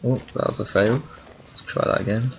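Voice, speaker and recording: A man's voice: mid-range voice, steady tone, moderate pace, rough recording. A man giving a casual walkthrough commentary over video game footage. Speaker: video game guide maker. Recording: clear background noise, game ambience.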